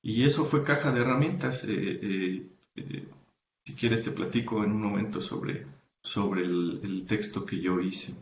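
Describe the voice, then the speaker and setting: A man being interviewed as a researcher, explaining his academic work carefully, pausing often with "eh" and correcting himself mid-sentence. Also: lowish voice, roomy sound, muffled recording, a little hiss or hum. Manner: thoughtful, many hesitations and self-corrections. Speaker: guest researcher.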